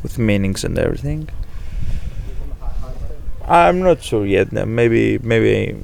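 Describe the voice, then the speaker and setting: A man speaking English with a Greek accent, his voice gravelly with a touch of fry. He talks casually and hesitantly, pausing to search for words. There is a faint hiss of noise behind him. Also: fairly low pitch, lively intonation, darkish tone. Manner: hesitant, thinking aloud with many fillers. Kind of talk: casual chat.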